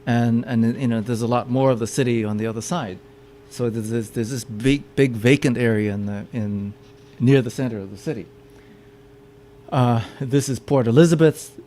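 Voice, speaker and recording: A man's voice: mid-range voice, normal speed, warm tone, a little hiss or hum. A man giving a public lecture with slides, often stopping to repeat his words as he explains. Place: meeting room with audience.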